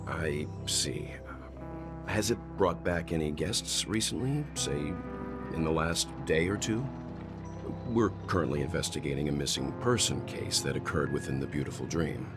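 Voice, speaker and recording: An actor playing a composed, thoughtful mature man in a video game, speaking in a measured, formal and deliberate way. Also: a bass voice, lively intonation, over music. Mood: grave, measured.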